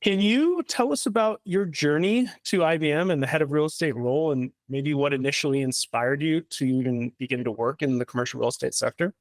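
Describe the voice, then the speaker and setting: A man's voice: a little high, fairly quick, hardly any pauses, no room sound. Polished male podcast host, dry sound. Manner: polished, scripted delivery. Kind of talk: scripted interview questions. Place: home office with shelves.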